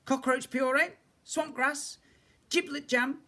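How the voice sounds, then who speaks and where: A man's voice: very high-pitched, fairly even intonation, slow, gravelly, pushed and bright, pitched above the performer's own voice, full character voice. A man voicing a busy female magical cook from a storybook, listing things to herself. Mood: busy, preoccupied. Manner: mumbled listing.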